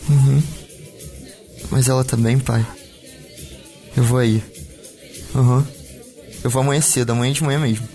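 Worried young Brazilian student played by a man, even-toned. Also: medium pitch, moderate pace, long pauses, soft background music, close to an everyday voice. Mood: casual, concerned. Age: young adult. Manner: casual, colloquial, short phrases. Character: worried young film student, devoted son of ailing parents. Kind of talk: naturalistic audio drama acting.

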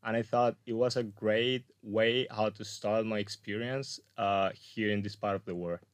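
A man's voice: on the low side, steady tone, normal speed, clean tone, no room sound. A young man from Colombia, a graduate student guest, talking casually in an interview with many fillers and restarts. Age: young adult.

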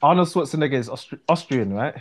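A man's voice: fairly high pitch, animated, fast. Brief: man, live stream co-host, slangy, chatty, easygoing. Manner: laid-back street slang, chatty, laughs often. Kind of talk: casual live chat.

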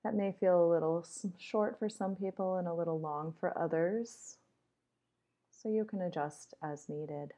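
A woman leading a guided meditation in a measured, instructional way with deliberate pauses, on slightly dull audio. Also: on the low side, mellow.

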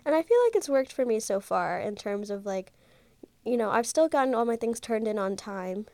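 A young woman in her twenties hosts a casual solo podcast while stuffed up, her voice a little nasal from congestion. She chats loosely with lots of "like" and "um," in a somewhat high, soft, mellow tone. The sound is dry and close, with no room echo, from a mic she holds in her hand. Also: expressive, normal speed. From Ohio, USA. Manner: chatty, rambling, many fillers like "like" and "um". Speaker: podcast host. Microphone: handheld mic.

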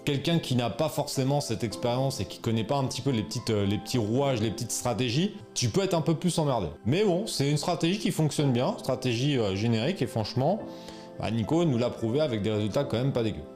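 Male coach, informal and slangy, mid pitch, faint music bed. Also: expressive, fairly quick. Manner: informal, slangy, many fillers and restarts. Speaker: e-commerce coach. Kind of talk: casual explainer video.

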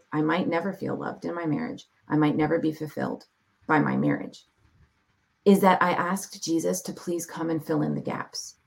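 Low, gravelly-voiced woman sharing testimony. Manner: reflective and heartfelt, with measured pauses. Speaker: podcast guest. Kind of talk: personal testimony in an interview.

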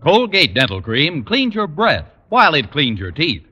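A man announcing a 1940s radio commercial with forceful, emphatic delivery and big swoops in pitch. His voice is bright and ringing. The old recording is dull and echoes. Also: wildly swooping pitch, moderate pace, slightly rough, faint music behind.

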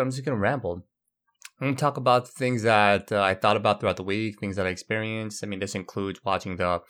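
Low-voiced young man rambling casually. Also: a bit bright. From Brazil. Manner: casual, loose, with fillers and restarts. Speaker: podcast host. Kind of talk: solo rambling podcast monologue.

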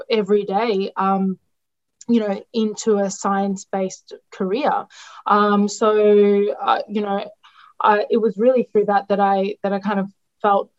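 A woman who is a dietitian, her Greek, answering interview questions with many ums, restarts and hesitations. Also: medium pitch. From Australia. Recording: dull lo-fi sound.

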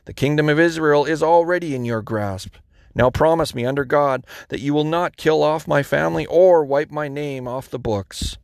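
A man's voice: fairly high pitch, normal speed, vocal fry, no echo, clean studio sound, pitched above the performer's own voice. A man reading aloud and voicing a weary, remorseful king in an earnest, pleading way.

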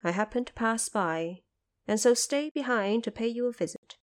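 A woman playing a compassionate female Bodhisattva in an audio drama, speaking in a measured, formal, instructive way. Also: a little high, lively intonation, close to an everyday voice. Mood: calm. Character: female Bodhisattva, serene, compassionate, gently stern.

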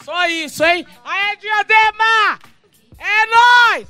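Slow, playful woman over hip hop. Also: extremely high pitch, over music. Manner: playful, slangy, shouts out. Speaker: audience participant.

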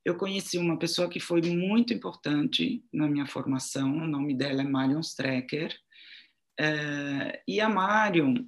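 A woman in her sixties, speaking her second language, answers reflectively in an interview, halting as she searches for words. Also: low-pitched. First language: not Portuguese (Portuguese is second language). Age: 60s. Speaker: interviewee, writer and art journalist.

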